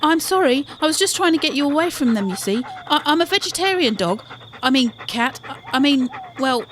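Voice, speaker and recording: A woman's voice: on the high side, big pitch swings, takes it slowly, pushed and bright, voice pushed up, exaggerated voice, rough recording. A woman voicing an earnest, kind-hearted young boy in a storybook, with ambient noise behind the voice. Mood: flustered apology.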